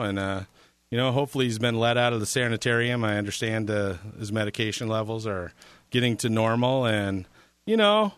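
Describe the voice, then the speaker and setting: A man's voice: mid-range voice, a bit bright, smooth, no echo. A man filling in as a talk radio host, rambling casually with a sarcastic edge and frequent restarts.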